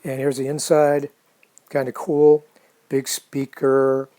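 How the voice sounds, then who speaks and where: Mellow man, casually narrating a DIY project. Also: mid-range voice, monotone, takes it slowly. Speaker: hobbyist restorer narrating a project.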